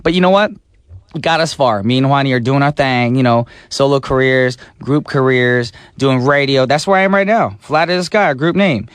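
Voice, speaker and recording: A man hosting a radio show in a studio, chatting and telling animated stories. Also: medium pitch, moderate pace, clean tone, dry recording. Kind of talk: casual radio DJ chat. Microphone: studio mic.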